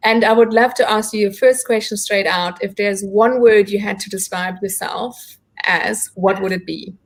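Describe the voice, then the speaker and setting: A woman hosting an online interview series, welcoming viewers and putting questions in halting, deliberate phrases. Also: mid-range voice, steady tone.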